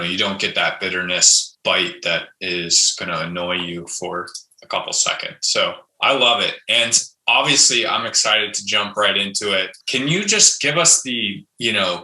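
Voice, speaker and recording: Bright-toned host in an echoey room. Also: male voice, lowish voice, expressive, moderate pace. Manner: enthusiastic, repeats words while asking questions. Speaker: podcast host. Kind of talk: casual interview hosting.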